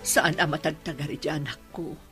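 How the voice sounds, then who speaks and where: A woman's voice: low voice, steady tone, brisk, a bit bright, soft background music. A woman playing a distraught, fretful mother in a melodramatic radio drama, plaintive and emotional. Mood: sorrowful.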